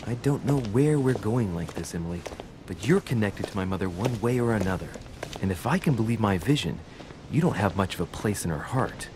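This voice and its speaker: Man acting a courteous young diplomat, rumbling background. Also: lively intonation, fairly quick, noisy background, close to an everyday voice. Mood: insistent, probing. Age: young adult. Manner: formal, courteous, questioning. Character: polite, self-assured young French diplomat, anxiously probing. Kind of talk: video game cutscene acting. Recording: constant rumbling ambience behind.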